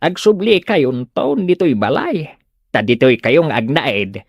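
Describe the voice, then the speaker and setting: A man's voice: a little high, sing-song, takes it slowly, gravelly, slightly rough, dry recording, lo-fi sound, full character voice. Man acting firm father, swinging intonation. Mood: caring. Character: firm, protective father standing up for his daughter. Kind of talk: Ilocano comedy radio-style drama acting.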